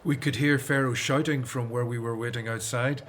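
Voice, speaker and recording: A man's voice: medium pitch, fairly even intonation, moderate pace, natural voice. A man's middle-range voice from an actor plays an earnest, steadfast Hebrew leader. He delivers biblical radio drama lines with gravity. There is slight background noise. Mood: grave, troubled. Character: Moses, earnest resolute Hebrew leader of his people. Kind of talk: religious radio drama acting.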